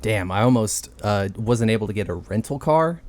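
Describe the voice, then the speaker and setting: A young man from Texas talking in a low, unhurried register as he co-hosts a casual podcast. He rambles through a story with plenty of "uh" and "um" and drops swear words freely, in an easygoing, loose manner. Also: animated, a little mellow. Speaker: podcast co-host. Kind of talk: casual chat.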